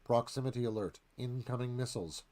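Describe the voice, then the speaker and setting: A man's voice: mid-range voice, brisk, mellow. A man reading dramatic scripted narration as screenplay narrator, in clipped phrases.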